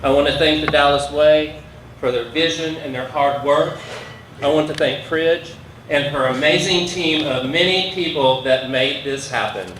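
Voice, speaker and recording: A man giving an earnest, measured prepared speech at an outdoor dedication. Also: fairly high pitch, heavy background noise. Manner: earnest, measured, reading from notes. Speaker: speaker at dedication. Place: outdoor street gathering. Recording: echoey from far off.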